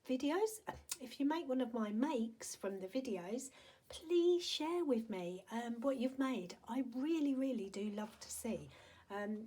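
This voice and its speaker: Croaky-voiced woman chatting through a craft. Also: fairly high pitch, darkish tone. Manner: chatty and friendly, with frequent ums. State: croaky, hoarse voice. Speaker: paper craft demonstrator and product seller. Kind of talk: casual craft-along tutorial.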